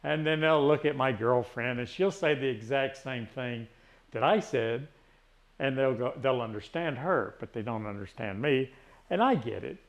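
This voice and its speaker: Older man, Tennessee drawl, gravelly, rambling casual chat. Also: mid-range voice, moderate pace. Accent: Tennessee Southern. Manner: rambling, frequent restarts and fillers. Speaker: retiree vlogger.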